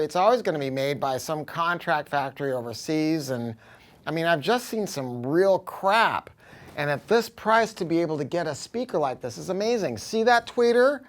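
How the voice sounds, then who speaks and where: An American man giving an informal, off-the-cuff product pitch as a dealer, talking in an emphatic, slangy, salty way. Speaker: audio dealer presenting a product. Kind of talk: informal product review, off-the-cuff sales talk.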